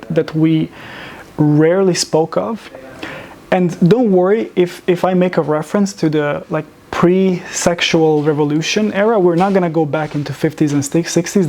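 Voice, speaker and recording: Gravelly-voiced man from Serbia lecturing. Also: a little high, a little mellow, slight background noise. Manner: measured, with frequent restarts and fillers. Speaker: public speaker. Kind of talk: lecture presentation.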